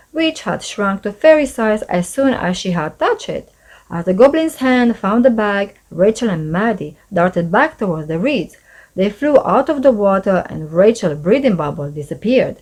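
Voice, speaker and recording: Woman reading children's stories, very lively intonation. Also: mid-range voice, normal speed, low-quality recording. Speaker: storybook narrator, channel host. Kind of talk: scripted children's story narration, read aloud.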